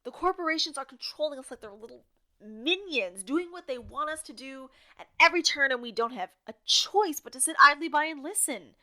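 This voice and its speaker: An actress playing a rambling radio co-host in an audio drama, wary of authority and prone to arguing with herself. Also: female voice, sits high, animated, brisk, sharp tone, full character voice. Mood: indignant. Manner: impassioned, argues back and forth with herself.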